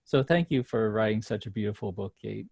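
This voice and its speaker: An event host interviewing a guest in a conversational way, with hesitant, halting phrasing. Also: mid-range voice, animated, creaky, darkish tone, breathy edge, dry recording, muffled recording.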